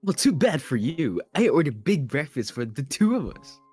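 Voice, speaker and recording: A man's voice: fairly high pitch, big pitch swings, normal speed, a little mellow, husky, faint music behind. A man voicing a cheerful, teasing male friend in a visual novel. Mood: breezy teasing.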